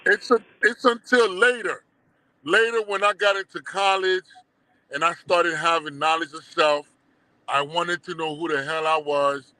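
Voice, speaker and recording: A middle-aged man with a Haitian accent, guest on a casual livestream interview, telling animated stories full of slang and swearing. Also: fairly high pitch, unhurried, no echo, low-quality recording. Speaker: guest, documentary producer.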